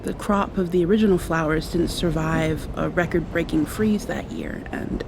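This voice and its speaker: A woman playing a gentle, affectionate young vampire in an intimate ASMR roleplay, speaking softly with thoughtful pauses. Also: deep voice, vocal fry, background noise. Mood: confiding, wry. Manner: soft, tender, unhurried, thoughtful pauses. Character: gentle, affectionate young female vampire confiding in her girlfriend.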